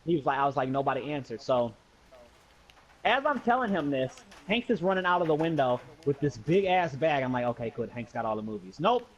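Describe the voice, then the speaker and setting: A man's voice: medium pitch, expressive, fairly quick. A young adult American man casually telling a story to friends in slangy speech, acting out the dialogue as he goes. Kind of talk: casual anecdote among friends. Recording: dull lo-fi voice recording.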